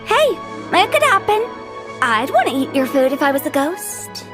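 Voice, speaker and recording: Impish teen girl with wild imagination, game acting, high n sing-songy. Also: very animated, normal speed, over music. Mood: playfully defensive. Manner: playful, theatrical. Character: mischievous, imaginative teenage girl who loves ghost stories. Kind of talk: animated video game acting.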